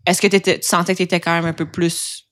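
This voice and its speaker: A woman's voice: fairly low pitch, level tone, quick pace, fairly bright tone. Woman, podcast co-host, meandering questions. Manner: hesitant, rambling questions with restarts. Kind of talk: casual interview chat.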